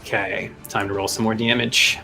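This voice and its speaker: Low-voiced male game master. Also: little pitch movement, moderate pace, vocal fry. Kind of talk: improvised tabletop game narration. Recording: music behind the voice. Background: music.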